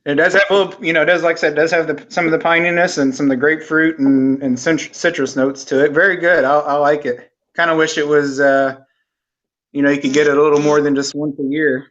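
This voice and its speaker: A man of forty talks about his hobby on a video call in an easygoing, halting way, often stopping on fillers. His voice is a bit high for a man, and the audio is dull. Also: fairly even intonation, a bit bright. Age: 40s. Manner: hesitant, full of fillers, easygoing. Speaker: guest. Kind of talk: casual video-call chat.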